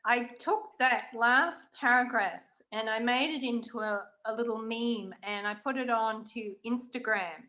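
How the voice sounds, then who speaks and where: Casting: female interviewer, slightly high pitch, informal conversational delivery, writer hosting a guest. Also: unhurried, few pauses, pushed and bright, poor audio. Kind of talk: casual interview chat.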